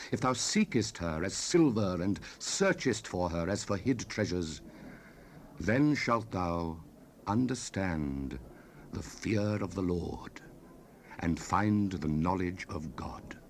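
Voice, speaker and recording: An actor playing a stern fatherly biblical sage, declaiming scripture solemnly in an archaic style for a dramatized audio Bible. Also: low-pitched. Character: stern fatherly biblical sage, exhorting and warning his son. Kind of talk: dramatized King James scripture reading, 1970s audio production. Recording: noisy raw cassette rip.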